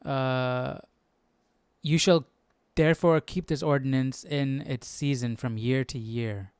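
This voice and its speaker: A man with a smooth, clean voice on the low side, recorded close and dry. He hosts a Bible study stream, talking to himself in an informal, inquisitive way and reading passages aloud as he goes. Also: fairly even intonation, slow pace, darkish tone, no room sound. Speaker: Bible study livestream host. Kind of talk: casual livestream study, thinking aloud and reading articles aloud.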